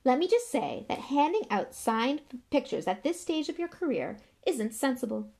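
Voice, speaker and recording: Woman doing a pompous, booming, animated celebrity schoolmaster. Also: moderate pace. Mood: patronising. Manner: booming, hearty, patronising. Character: pompous, jovial, self-important male celebrity teacher.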